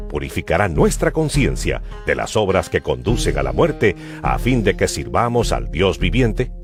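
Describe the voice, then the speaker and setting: Deep, expressive male Bible narrator. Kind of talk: dramatized scripted scripture narration. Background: music.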